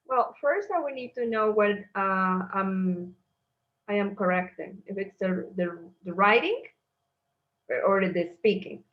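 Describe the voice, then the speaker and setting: A woman's voice: medium pitch, a little slow. A woman teacher trainee thinks aloud about feedback, hesitating with many fillers. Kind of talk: discussion. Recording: dull video call audio.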